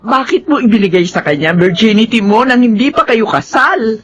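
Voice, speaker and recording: A woman's voice: fairly high pitch, lively intonation, fairly quick, muffled recording, lightly acted. A woman playing a worried, reproachful middle-aged mother with a Filipino accent in an emotional drama, pressing with questions. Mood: upset, scolding. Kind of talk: emotional radio-drama acting.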